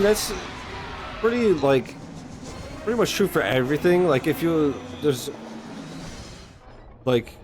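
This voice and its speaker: A man's voice: on the high side, noisy background. A man chatting casually as a streamer reacting to a game, blunt, slangy and freely swearing. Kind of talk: casual live-stream chat. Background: game music.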